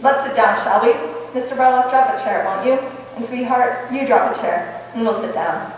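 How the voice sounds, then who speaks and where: A wry, quick-witted woman schoolteacher played by an actress in an old-style radio comedy. Her voice sits fairly high and delivers dry wisecracks. It carries from far off in a very echoey hall. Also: natural voice. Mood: playfully doting. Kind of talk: 1940s-style radio comedy stage acting. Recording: echoey hall from afar, faint noise.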